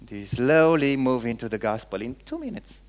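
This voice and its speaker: A male pastor from Romania with a Romanian accent, preaching a sermon in emphatic, halting phrases and repeating words for weight. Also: medium pitch, sing-song.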